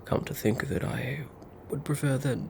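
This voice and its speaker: A woman's voice: a bass voice, fairly even intonation, moderate pace, warm tone, a little hiss or hum. A woman with an Australian accent playing a fussy, polite ghost in a whispered ASMR roleplay, with quaint, hesitant wording. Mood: hesitant, pleading. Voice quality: breathy whisper. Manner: quaint old-fashioned wording, hesitant, apologetic. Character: fussy, polite female ghost haunting a hospital bed. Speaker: ASMR performer.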